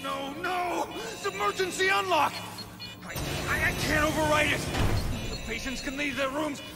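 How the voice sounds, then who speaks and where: An actor playing a frantic, hostile asylum guard in a video game, accusing and flustered. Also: male voice, very high-pitched, steady tone, moderate pace. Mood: panicked. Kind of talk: scripted video game acting. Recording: loud music behind the voice.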